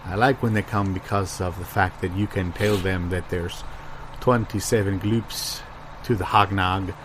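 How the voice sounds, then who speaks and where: A man playing a folksy small-town local who reminisces earnestly and haltingly in an improvised absurd comedy sketch. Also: low voice, fairly even intonation, noisy background. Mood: musing, halting.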